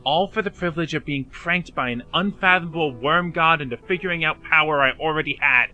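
A man's voice: on the high side, a little fast, sharp tone, soft background music. A man playing an anxious, self-blaming young man in a fan dub drama, speaking earnestly and hesitantly. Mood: ashamed, confessing.

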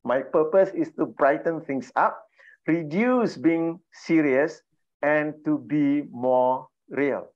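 A man's voice: on the high side, takes it slowly. A middle-aged man from Malaysia hosting an online workshop on a video call, welcoming people with lots of "uh" fillers. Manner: frequent "uh" fillers, warm and laughing. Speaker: trainer and coach hosting an online session. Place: home room with bookshelves. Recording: slightly dull video call audio.

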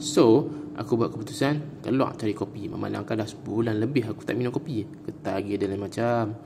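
A man in his thirties with a northern Malaysian Kedah accent, narrating a casual vlog in a gravelly voice. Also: on the low side, quick pace, a little mellow, slight background noise. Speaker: vlogger. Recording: music playing over the voice.

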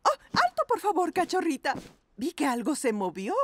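High, expressive cartoon pug mom. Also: female voice, moderate pace, very noisy. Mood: alarmed. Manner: motherly, expressive, exclamatory. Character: affectionate, playful mother pug. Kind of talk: children's cartoon dub acting.

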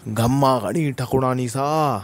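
Man acting as slow-spoken devout wandering holy man. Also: mid-range voice, close to an everyday voice. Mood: reverent, courteous. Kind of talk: radio drama acting.

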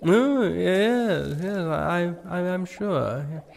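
A man's voice: high-pitched, lively intonation, extremely slow, gravelly, darkish tone, a little hiss or hum, heavily acted. An actor playing a bumbling king in a comic audio drama, hesitant, stammering and blustery. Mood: dithering. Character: bumbling, dithering, sceptical old-fashioned king.

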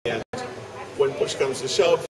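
A man's voice: medium pitch, measured pace, noisy background. A man, an actor who created the series, talking easily and rambling a bit in a casual behind-the-scenes interview. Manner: easygoing, rambling with fillers. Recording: voice from a distance amid crowd chatter.

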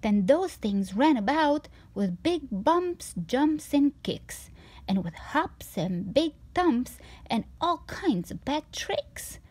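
A woman reading a children's storybook aloud with expressive, rhythmic, emphatic narration. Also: high voice, slow.

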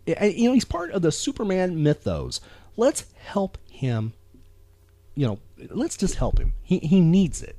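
Animated American man, emphatic podcast co-host. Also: high voice, measured pace, no echo. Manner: emphatic, earnest, rambling. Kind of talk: casual chat.

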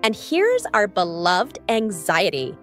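A woman's voice: mid-range voice, huge pitch swings, slow, fairly bright tone, faint music behind, heavily acted. A woman voicing a tiny, sweet, theatrical joy sprite in a cartoon comic dub. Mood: cheery, teasing.

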